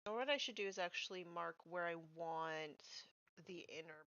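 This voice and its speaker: A woman's voice: on the low side, normal speed, a bit bright, clean tone, dry recording. A woman streaming as she paints miniatures, chatting casually and thinking aloud as she works, a little nasal from a cold. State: has a cold, a bit nasal. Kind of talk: casual chat while painting. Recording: dull, slightly lo-fi stream audio.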